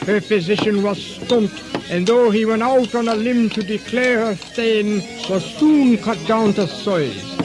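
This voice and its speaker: A man's voice: high-pitched, unhurried, music in the background. A man narrating a comic radio drama in a mock-solemn storytelling style.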